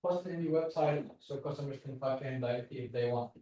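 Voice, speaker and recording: A man from Algeria, a bootcamp instructor explaining steps casually in halting English and confirming often. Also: medium pitch, dark tone. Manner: halting, confirms with repeated "exactly". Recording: roomy and distant from the mic.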